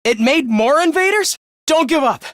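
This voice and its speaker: Earnest anime game schoolboy leader, youngish high voice, super animated, really dry studio sound. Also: male voice, moderate pace, no room sound. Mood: alarmed, urgent. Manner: earnest, expressive, polite. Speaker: video game voice actor. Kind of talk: animated game voice lines, anime-style dub acting.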